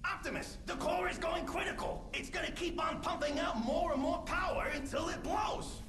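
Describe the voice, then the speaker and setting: A man playing an excitable robot engineer in a video game, urgent and melodramatic with a gravelly voice. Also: very high voice, normal speed. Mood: panicked urgency. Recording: voice under loud music.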